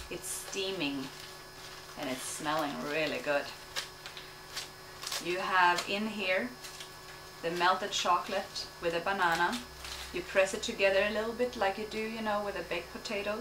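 A woman's voice: mid-range voice, measured pace, pauses often. Woman cooking in an echoey kitchen. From Sweden. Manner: relaxed, explaining step by step while cooking. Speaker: cooking show host. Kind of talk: home cooking demonstration. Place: home kitchen, indoors. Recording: echoey kitchen, distant mic, noisy.

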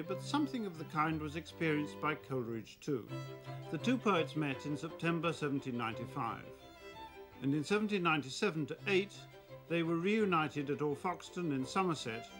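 A man in his fifties with an English accent presenting a documentary in an articulate, scholarly, measured way. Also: on the high side, fairly bright tone.

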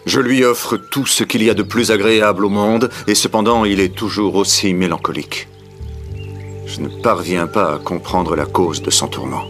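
A man playing a proud, anxious middle-aged king in an animated film, speaking in a stately, formal manner. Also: low-pitched, normal speed, soft background music. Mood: troubled, resolute. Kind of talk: dramatic animated film dub.

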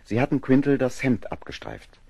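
A man as the grave narrator of a seafaring audio drama, telling the story in a measured, scripted manner. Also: medium pitch, sing-song, mellow, slightly rough, no echo.

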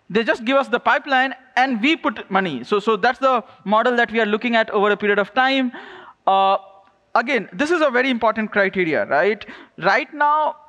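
Very high-pitched man presenting in echoey hall. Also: a bit bright. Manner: repetitive, stop-start, checks in with "right?". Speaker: conference keynote speaker, programs lead. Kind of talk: informal keynote presentation. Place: stage in a large glass-walled event hall. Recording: echoey hall with long reverb.

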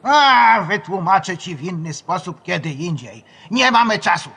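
A man's voice: high-pitched, big pitch swings, moderate pace, bright, forceful tone, breathy edge, full character voice. An actor playing an affectionate old grandfather spirit in a children's radio play, speaking tenderly and patiently. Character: affectionate old grandfather, a guardian spirit who has died.

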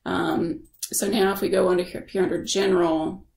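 A woman giving a casual software tutorial as a screen-share voice-over, explaining informally and thinking aloud. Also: fairly low pitch, level tone, moderate pace, vocal fry, echoey room, rough recording. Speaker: software instructor.

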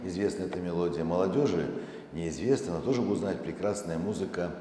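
A middle-aged man giving a live presentation to an audience, explaining things in a halting way through a handheld microphone on stage. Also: low-pitched, a little hiss or hum. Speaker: museum director presenting an exhibition project. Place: large dark auditorium stage, indoors. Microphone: handheld microphone through hall speakers. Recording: echoey hall with long reverb, loud music. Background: archival music playing.

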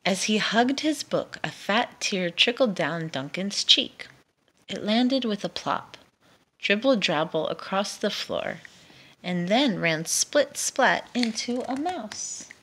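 A woman reads a picture book aloud for children. Her voice is bright and very animated, moving at a slow, deliberate storytelling pace. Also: medium pitch, slight background noise. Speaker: storytime reader.

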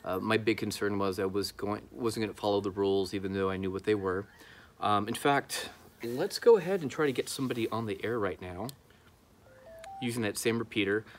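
A man in his thirties with a slightly low voice hosts a casual vlog. He explains things to the camera in a conversational way while sitting outdoors. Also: brisk, slight background noise. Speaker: YouTube host, amateur radio hobbyist. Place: outdoors in a backyard.

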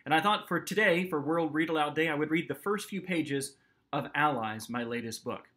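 A man in his forties reading a novel aloud as its author, with expressive, dramatic narration. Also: on the high side, moderate pace. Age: 40s. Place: small home office, indoors.

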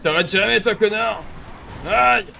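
A man's voice: high voice, a little slow, vocal fry, pushed and bright, a little hiss or hum. Mocking young man on a headset. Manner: mocking, swears a lot. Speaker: streamer. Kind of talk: casual gaming commentary.

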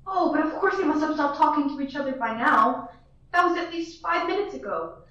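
Girl playing a fussy, high-voiced rich lady on the phone. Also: lively intonation, a little fast, fairly bright tone. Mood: insistent. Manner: indignant, fussy and insistent. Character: fretful, demanding wealthy woman on the phone. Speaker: student actor. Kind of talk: school radio play acting. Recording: dull, roomy, from far off.

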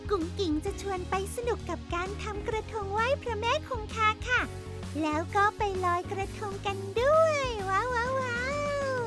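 A squeaky cheerful cartoon girl. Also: expressive. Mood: bubbly. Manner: sweet, bubbly, slow and clear. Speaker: show host. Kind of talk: children's show hosting. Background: children's background music.

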